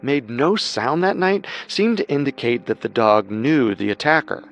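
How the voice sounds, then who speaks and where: A man's voice: medium pitch, big pitch swings, moderate pace, highs cut off. A man narrating a scripted true-crime story as co-host.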